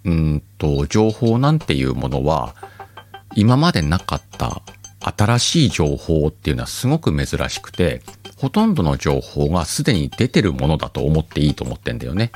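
A man's voice: extremely low pitch, sing-song, normal speed, a little mellow, faint music behind. A man hosting his own talk show, musing aloud in a loose, self-mocking way and chuckling at his own slips, with a lilt. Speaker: podcast host. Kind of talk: casual solo radio-style talk.

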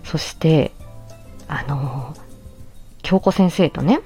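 A woman's voice: sits low, takes it slowly, mellow, faint music behind. A woman hosting a casual solo audio stream, talking in a chatty, polite, explanatory way. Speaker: host and amateur voice actress.

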